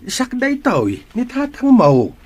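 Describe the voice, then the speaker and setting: A man's voice: extremely high pitch, huge pitch swings, takes it slowly, husky, full character voice. A man playing a worried, tender father in a melodrama, coaxing his troubled son with earnest feeling. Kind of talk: Ilocano melodrama acting.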